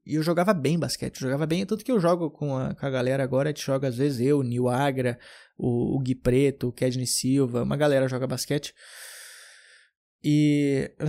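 A man's voice: mid-range voice, dark tone. A young man from southern Brazil hosting a podcast, telling loose, rambling anecdotes in casual chat with frequent restarts. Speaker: podcast host.

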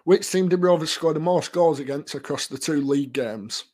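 A man's voice: fairly high pitch, moderate pace, darkish tone. A man co-hosting a casual podcast, giving brief quiz answers and asking questions. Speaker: podcast co-host.

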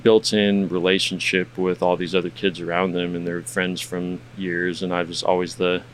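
Deep-voiced laid-back male podcast host. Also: little pitch movement, moderate pace, a little hiss or hum. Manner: rambling, laid-back, swears casually. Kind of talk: casual interview chat.

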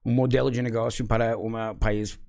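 Native English-speaking man in his forties, laid-back guest who laughs easily, medium pitch. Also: moderate pace, vocal fry. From United States. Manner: relaxed, frequent "sabe?" tags, laughs often. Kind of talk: casual conversation.

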